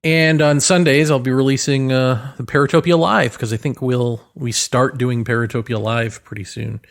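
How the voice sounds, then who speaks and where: A man's voice: medium pitch, fairly even intonation, normal speed, creaky. Rambling, wry male podcast host. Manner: rambling, hesitant, thinking aloud; self-deprecating, wry. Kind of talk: casual solo podcast monologue.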